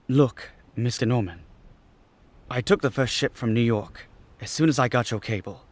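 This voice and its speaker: A man's voice: medium pitch, normal speed, no room sound, natural voice. A man playing a puzzled, polite young man in an old-time radio drama, earnest in his delivery.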